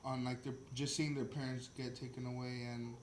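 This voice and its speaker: A man's voice: medium pitch, flat delivery, fairly quick. A man in his early twenties co-hosting a casual podcast, informal and sweary, feeling his way through his sentences. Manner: informal, swearing, hesitant phrasing. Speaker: podcast host. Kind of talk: casual group podcast chat. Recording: echoey room, faint background noise.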